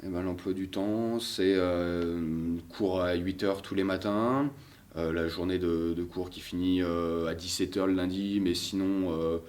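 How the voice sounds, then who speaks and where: Low-voiced young man, matter-of-fact. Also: fairly even intonation, unhurried, darkish tone. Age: late teens. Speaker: student athlete. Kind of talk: documentary interview.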